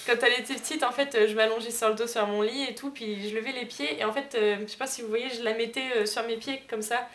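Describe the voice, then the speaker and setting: A woman's voice: fairly high pitch, steady tone, fast, few pauses, fairly bright tone. A woman in her early twenties chatting casually to camera in a rambling, giggly way, recorded at home on an amateur camera. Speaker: vlogger, elder sister. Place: room at home. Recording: noisy amateur digital camera sound.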